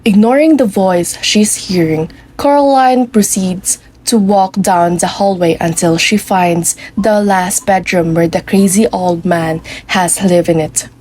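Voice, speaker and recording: A woman's voice: mid-range voice, measured pace, hardly any pauses, a little mellow. A woman narrating a scripted story for a puppet show. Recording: clearly noisy recording with ambience.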